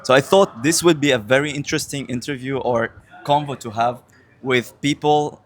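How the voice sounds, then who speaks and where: A man from Syria hosting a relaxed, informal interview and chatting easily with his guests. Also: medium pitch, moderate pace. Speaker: host. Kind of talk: casual interview.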